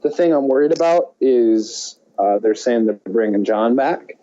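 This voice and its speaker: A man co-hosting a movie podcast in an animated, exclamatory, casual way, on a slightly dull recording. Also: medium pitch, normal speed. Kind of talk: casual chat about films.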